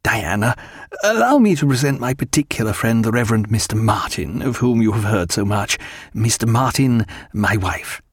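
A man voicing a learned, dry-humoured ship's surgeon and fond husband, with formal, measured period phrasing. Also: lowish voice, expressive, breathy edge, no room sound. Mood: polite, composed.

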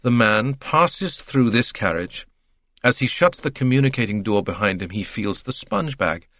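A man's voice: fairly low pitch, animated, normal speed, a bit bright, dry recording. Man acting an insistent, authoritative railway director. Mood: eagerly theorizing.

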